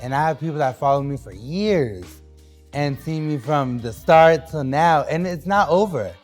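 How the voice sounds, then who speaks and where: A man's voice: fairly high pitch, animated, unhurried, soft background music. A young man from New Hampshire talking loosely as a guest on a podcast, with lots of fillers and restarts. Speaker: guest artist. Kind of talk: casual podcast interview.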